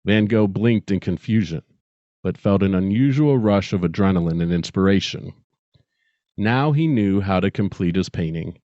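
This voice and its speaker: A man's voice: fairly low pitch, darkish tone, no room sound. A middle-aged man speaking as a podcast co-host and storyteller, close to the microphone on slightly dull audio. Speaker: podcast co-host and amateur short story writer. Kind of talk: dramatic story reading and casual podcast chat.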